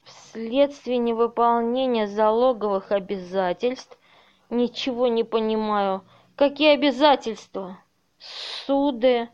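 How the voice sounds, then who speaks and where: A high-pitched, slow-spoken woman played by an actress in a radio play. She is trusting and easily flustered, distraught about her home. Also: a little mellow, clean tone, highs cut off. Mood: bewildered, anxious. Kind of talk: amateur audio play acting.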